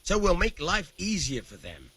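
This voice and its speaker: An actor playing a cynical, rough-tongued medieval commoner with a husky voice and colloquial, emphatic delivery in a video game. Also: male voice, high-pitched, wildly swooping pitch, moderate pace, sharp tone, no room sound, heavily acted. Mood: wry, conspiratorial. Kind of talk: video game dialogue acting.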